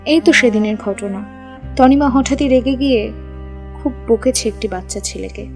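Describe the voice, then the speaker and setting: A woman's voice: on the high side, moderate pace, darkish tone. A woman reading a scripted audio story as its narrator. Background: background music.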